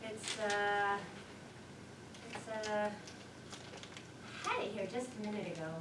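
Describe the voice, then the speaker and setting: An actress on stage playing a scatterbrained, chatty wife, dithering yet sure of herself, with emphatic theatrical delivery. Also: female voice, medium pitch, fairly even intonation, slow, close to an everyday voice. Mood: breezy. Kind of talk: live one-act stage play acting. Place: theater stage, picked up from a distance. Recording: roomy, distant, noisy theater recording.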